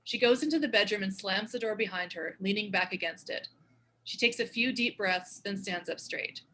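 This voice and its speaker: A woman's voice: mid-range voice, moderate pace, bright, forceful tone, low-quality recording. A woman reading stage directions as scripted narration for a screenplay table read.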